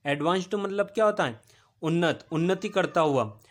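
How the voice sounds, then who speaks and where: A male teacher, giving a recorded vocabulary lesson. He repeats each meaning as a question, answers it himself, and pauses on "uh". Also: high-pitched. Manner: steady explaining pace with filler "अ" pauses.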